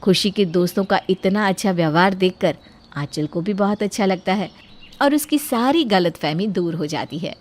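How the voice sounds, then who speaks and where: A woman's voice: medium pitch, animated, normal speed, a little mellow. A woman narrating a scripted moral story as a storyteller. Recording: music bed under the voice. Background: background music.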